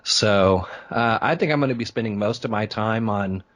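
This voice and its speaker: Male podcast guest with a deep voice, dry recording, easygoing casual fan chat. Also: normal speed, a bit bright, no room sound. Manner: easygoing, agreeable, thinking aloud. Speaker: podcaster being interviewed as a guest.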